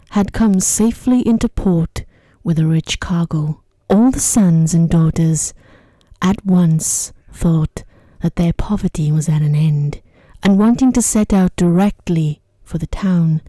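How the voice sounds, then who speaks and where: A woman narrates a bedtime fairy tale in a hushed ASMR manner. Her voice is somewhat low and extremely dark and relaxed, easing into breathy near-whispers. The sound is dry and close, with an unhurried storyteller's delivery. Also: dry recording. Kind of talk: ASMR bedtime story reading, soft-spoken. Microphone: close microphone.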